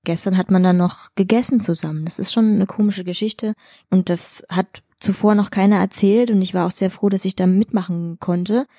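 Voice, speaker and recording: A woman actress chats openly as an interview guest. Her voice is mid-pitched and mellow. She talks in a colloquial, candid way, recorded very dry. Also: steady tone, normal speed, dry recording. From Chemnitz, Germany. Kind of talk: casual interview talk.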